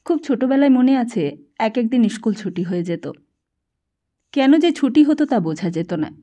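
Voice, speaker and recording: A woman audiobook narrator with a fairly high voice. She reads literary fiction from a script, in the style of a storyteller. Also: lively intonation, moderate pace, dark tone.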